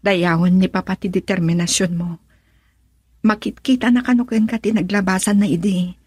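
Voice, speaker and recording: An actress playing an outspoken, emotional wife and daughter in a radio drama, husky and insistent. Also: female voice, mid-range voice, steady tone, moderate pace, darkish tone, dry recording, studio quality, lightly acted. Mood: urging. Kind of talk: Ilocano radio drama acting.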